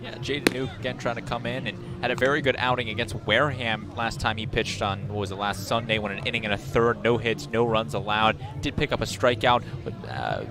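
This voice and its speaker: A man's voice: medium pitch, a little fast, hardly any pauses, over music. A young American man giving live color commentary on a radio baseball broadcast. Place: outdoor baseball stadium broadcast.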